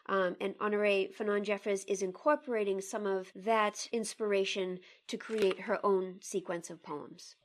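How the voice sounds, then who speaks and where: A woman's voice: mid-range voice, steady tone, normal speed, hardly any pauses. A woman co-hosting a poetry discussion, speaking in a careful, articulate way as she reads closely and poses questions. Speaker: podcast co-host.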